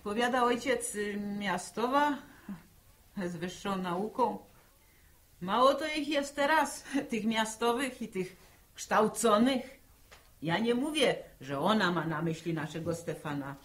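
Actress as a slow-talking, fussing farm mother. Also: mid-range voice, animated, lightly acted. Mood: worried. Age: middle-aged. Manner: fussing, chiding, repeats words. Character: worried, bossy farm mother and cook. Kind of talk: Polish radio serial acting, 1960.

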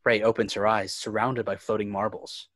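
A man's voice: fairly low pitch, little pitch movement, normal speed, fairly bright tone, dry recording. Male narrator, close dry sound. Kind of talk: scripted screenplay narration. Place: indoors on a home video call.

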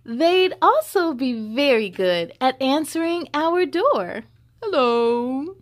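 A young woman hosting a children's story time, reading a picture book expressively with drawn-out emphasis and chatty asides. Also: high-pitched. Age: young adult. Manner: animated, drawn-out emphasis on rhymes.

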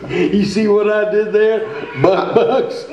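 A man's voice: high voice, mellow, distant mic. A man playing a reluctant, grumbling, joking morning radio sidekick in a staged comedy show, with a hesitant delivery. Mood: dry, teasing. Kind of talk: staged old-time radio comedy acting. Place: theatre stage, indoors. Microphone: stand microphone, camera far off. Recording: muffled, echoey stage recording from afar.

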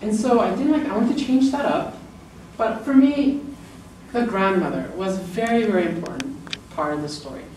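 A woman's voice: animated, moderate pace, darkish tone. Middle-aged woman, chatty talk in an echoey room. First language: English. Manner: chatty, laughing, acting out quoted lines with pitch jumps. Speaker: author and illustrator giving a book talk. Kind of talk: informal presentation. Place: lecture room, indoors. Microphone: far camera in the room. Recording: roomy, distant camera audio with noise.

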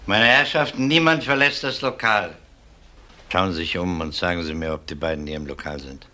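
A male police inspector in a radio crime drama. His voice is fairly low and sharp-edged. He questions people in clipped, firm sentences with dry sarcasm. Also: moderate pace. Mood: firm, probing. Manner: clipped, questioning, dryly sardonic. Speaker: actor.

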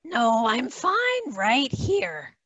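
Lady doing fed up cartoon mom, scratchy, slow, dry close sound. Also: sits high, huge pitch swings, a bit bright, no room sound, exaggerated voice. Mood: hurt, stubborn. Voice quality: raspy. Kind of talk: amateur cartoon table read. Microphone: headset.

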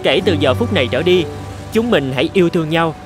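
Cartoon songbird, voiced by a man: small, male, sentimental and cheerful, lightly raised pitch, music underneath. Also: lively intonation, brisk, natural voice. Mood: happy, tender. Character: cheerful, lovestruck little male bird, the traffic light's friend. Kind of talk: animated fairy-tale dubbing.

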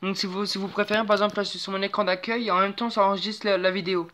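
A woman's voice: medium pitch, level tone, rapid-fire, fairly bright tone, a little hiss or hum. A young woman hosting her own channel, chatting casually over a phone screen recording with lots of fillers and restarts. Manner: rambling, hesitant, many fillers and restarts. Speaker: channel host.

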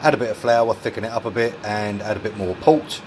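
Male home cook, lowish voice, easygoing self-mocking explainer, filmed in a small kitchen. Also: brisk, a little mellow, slight background noise. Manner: chatty, self-mocking, explains each step. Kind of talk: casual cooking narration.

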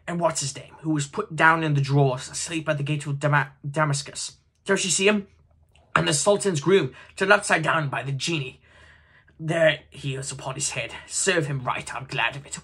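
A man's voice: on the high side, normal speed, husky, voice pushed up. A man voicing an ecstatic old miser. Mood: excited. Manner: excited exclamations, between laughing and crying.

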